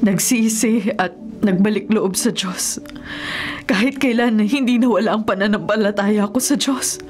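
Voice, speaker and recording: A woman's voice: medium pitch, a little slow, darkish tone, close to an everyday voice. An actress playing a remorseful, devout middle-aged mother with a Filipino accent, earnest and solemn, in a radio drama.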